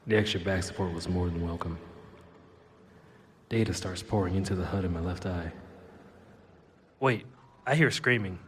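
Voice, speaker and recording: A man's voice: sits low, moderate pace, pauses often, natural voice. A man voicing a flippant, rambling cyborg who narrates his own escape in an audio drama. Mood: narrating, wary. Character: wisecracking male cyborg with a robotic tail, dazed and flippant. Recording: echoey, long reverb, noisy background.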